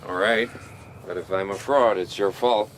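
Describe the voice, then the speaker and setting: A man plays a sardonic secret agent in a radio drama. His low voice is glib and needling, with blunt insistence. The recording carries faint noise. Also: fairly even intonation, moderate pace, natural voice.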